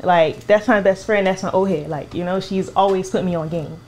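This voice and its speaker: A woman's voice: fairly low pitch, a little fast, a little mellow, slight background noise. Casual young woman, kitchen sizzle behind. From born in Liberia, raised in Florida, United States. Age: young adult. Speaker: guest, head of operations of a food business. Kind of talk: casual chat interview. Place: home kitchen, indoors. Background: frying and sizzling food.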